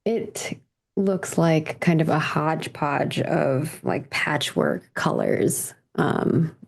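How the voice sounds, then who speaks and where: A woman playing a tabletop game, casually describing her character in a halting way as she searches for words. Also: low voice, gravelly, a little mellow, slightly rough.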